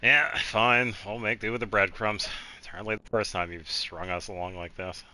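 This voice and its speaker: Deep, very bright-voiced male gaming commentator. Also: steady tone, moderate pace, no room sound. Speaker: gaming streamer. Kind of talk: casual playthrough commentary.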